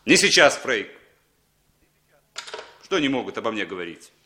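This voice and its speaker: An actor playing a cool, skeptical Western spy in a Soviet radio play, dry, sardonic and measured. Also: male voice, fairly low pitch, big pitch swings, sharp tone, slight background noise. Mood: doubting, cool.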